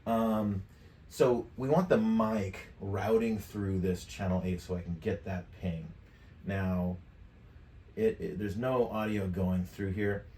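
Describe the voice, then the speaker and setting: Man, 50s, low voice, offhand tutorial host thinking aloud; roomy, slightly distant mic. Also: fairly even intonation, slow, mellow. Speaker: tutorial presenter. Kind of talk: casual screen-share software tutorial. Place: indoor home studio desk.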